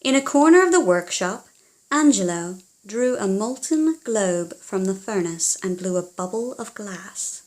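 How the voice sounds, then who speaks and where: A woman narrating a children's storybook in an expressive, dramatic way with hushed passages. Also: medium pitch, a little slow.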